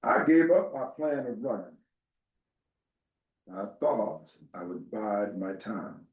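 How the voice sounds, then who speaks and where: A man reading a first-person historical narrative aloud as a dramatic reader, in a measured storytelling way. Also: lowish voice, animated, muffled recording. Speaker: dramatic reader at a literary event. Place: live event in a reverberant room. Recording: echoey room, far from the mic.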